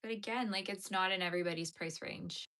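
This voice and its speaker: A woman's voice: medium pitch, a little fast, sharp tone. A woman in her thirties chatting casually while she knits, rambling and full of fillers as she answers viewers. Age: 30s. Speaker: knitting channel host. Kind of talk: casual chat while knitting.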